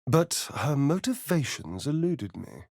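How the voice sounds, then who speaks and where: An actor voicing a sardonic, foppish, flirtatious vampire spawn in a video game, with an arch, theatrical, languid drawl. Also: male voice, a little high, big pitch swings, measured pace, a little mellow, no echo, clean studio sound, full character voice. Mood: grave, reflective. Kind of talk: video game character lines.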